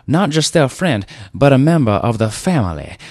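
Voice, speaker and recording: A man voicing a boorish, overbearing heavyset car dealer who talks loudly and bossily in a drawl. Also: mid-range voice, sing-song, moderate pace, no echo, clean studio sound, pitched above the performer's own voice. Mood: bossy. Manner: loud, bossy, drawling.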